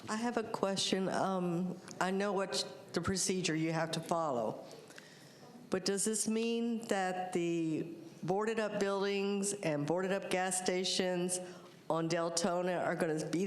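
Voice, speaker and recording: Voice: medium pitch, measured pace. A resident at a city meeting asking plain-spoken, persistent questions. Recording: echoey meeting room.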